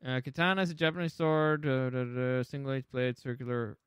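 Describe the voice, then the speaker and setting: A man's voice: medium pitch, fairly even intonation, fairly quick, smooth, no room sound, clean studio sound. A man co-hosting a casual chat show, with a brash, argumentative, foul-mouthed way of talking. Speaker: podcast co-host. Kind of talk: casual chat, pop culture ranking banter.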